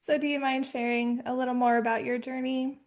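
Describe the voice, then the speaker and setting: Woman interviewer with a higher voice. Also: level tone, brisk. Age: 30s. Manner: friendly, asks short questions, joins in playfully. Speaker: podcast interviewer. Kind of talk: casual interview.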